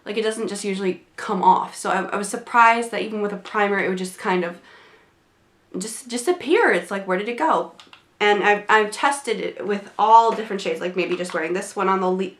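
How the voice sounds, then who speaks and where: A woman's voice: medium pitch, fairly quick, roomy sound. Chatty young woman with a cold. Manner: chatty, rambling, many fillers and restarts. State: congested from a cold. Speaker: beauty vlogger. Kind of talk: casual product review. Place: small home room, indoors.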